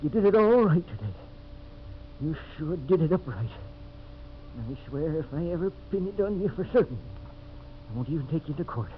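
Casting: male actor playing fiercely accusing frontier lawman, high-pitched, intense, emphatic, muffled old radio recording. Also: lively intonation, normal speed, long pauses, a little hiss or hum, close to an everyday voice. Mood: bitter, accusing. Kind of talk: 1950s radio drama acting.

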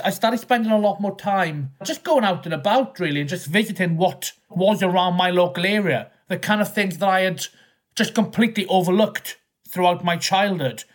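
A man in his thirties with a Welsh accent, speaking as a historian guest in an interview. He thinks out loud, rambling a little and restarting phrases as he goes. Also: high-pitched, animated, normal speed. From South West Wales. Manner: thoughtful, rambling with small restarts. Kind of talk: conversational interview.

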